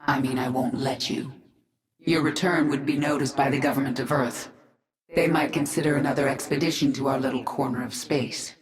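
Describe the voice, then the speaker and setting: A woman's voice: very deep voice, fairly even intonation, normal speed, echoey room. An actress playing a hardened, commanding and brooding alien queen in a video game. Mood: firm, measured. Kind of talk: video game cinematic acting.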